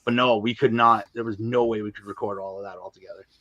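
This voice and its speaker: A man's voice: lowish voice, fairly even intonation, fast, bright, forceful tone, no room sound. A man talking casually and candidly as a podcast interview guest, with emphatic, colloquial phrasing. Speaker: guest, audio drama writer and filmmaker.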